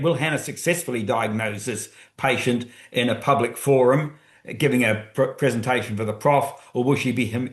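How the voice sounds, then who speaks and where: Man, thinking aloud, frequent restarts, echoey room. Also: medium pitch. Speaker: co-author guest speaker. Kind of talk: webinar talk. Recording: roomy echo.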